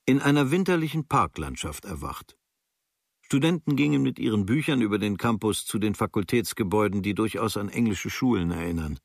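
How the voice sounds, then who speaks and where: A low-pitched young German writer reads his travel diary for a radio play. He is observant and dryly critical, with sober, measured, clipped phrasing. The sound is close and dry. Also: lively intonation, vocal fry, no echo. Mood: detached, observant. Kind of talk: literary reading of a travel diary.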